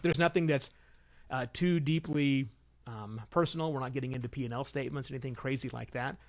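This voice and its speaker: A middle-aged man hosting a solo show, giving informal advice in an animated, emphatic way with conversational asides. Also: mid-range voice, brisk. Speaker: podcast host and consultant.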